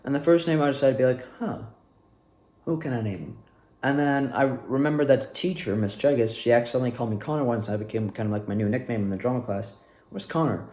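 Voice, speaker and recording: A man's voice: mid-range voice, fast, a little mellow. Echoey young man telling a rambling story. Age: young adult. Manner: rambling, repeats words for emphasis. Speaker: student actor recounting past performances. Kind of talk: casual storytelling monologue. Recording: echoey, reverberant room.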